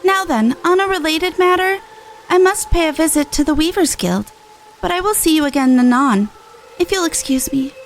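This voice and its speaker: A woman voicing a composed, formal older sister from a fantasy game, speaking in a measured, earnest way. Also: high voice, a little hiss or hum, voice pushed up. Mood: polite, businesslike. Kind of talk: reading game dialogue aloud in character.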